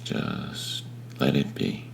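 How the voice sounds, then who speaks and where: A man leading a guided meditation in a gentle, unhurried manner with long pauses between phrases. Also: a little hiss or hum. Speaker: meditation teacher.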